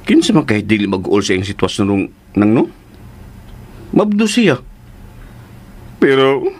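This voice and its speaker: An actor with a Cebuano accent playing a folksy, feisty and protective uncle who teases and blusters in a radio drama. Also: male voice, lowish voice, huge pitch swings, a little slow, full character voice. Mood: sympathetic. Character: feisty, teasing, protective uncle who stands his ground.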